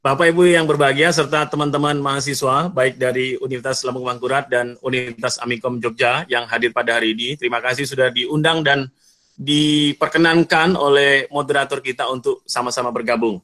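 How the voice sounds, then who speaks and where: A man's voice: medium pitch, fairly even intonation, fairly quick, pushed and bright. Chatty middle-aged man giving an online talk. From North Sumatra, Indonesia. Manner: chatty, joking, addresses audience directly. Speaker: guest speaker. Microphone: computer mic on a video call.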